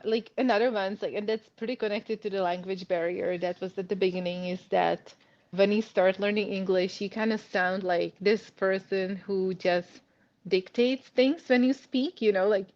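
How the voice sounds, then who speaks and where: A woman's voice: medium pitch, a little mellow. Czech-accented woman, chatty, lo-fi sound. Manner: chatty, laughs often, many "like" and "you know" fillers. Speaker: guest. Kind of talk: casual interview.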